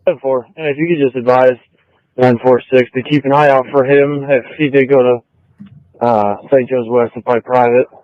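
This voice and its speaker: A male police officer calling in over scanner radio, spelling names in phonetic code in a flat, routine way. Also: medium pitch, normal speed, no echo, lo-fi sound.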